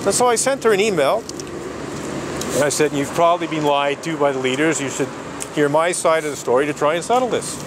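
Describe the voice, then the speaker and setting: A man protesting outdoors, talking in an animated, indignant way and laughing often. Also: on the high side, fairly quick. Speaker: street protester. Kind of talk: casual outdoor conversation. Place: outdoors on a city street. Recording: outdoor traffic noise.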